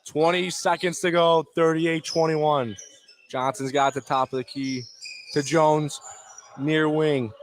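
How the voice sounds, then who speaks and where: Guy doing live hoops play by play, kinda high voice, short clipped phrases, noisy gym behind him. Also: fairly even intonation, slow pace. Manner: clipped short phrases, calling each pass. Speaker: play-by-play announcer. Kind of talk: live basketball radio play-by-play. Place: indoor high school basketball gym. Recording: noisy gym ambience, bouncing balls. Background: game noise, basketball bounces.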